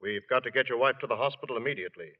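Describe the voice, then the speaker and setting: Actor as brisk grave doctor, reverberant radio. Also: male voice, medium pitch, close to an everyday voice. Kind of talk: 1940s radio acting. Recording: reverberant, muffled old radio recording.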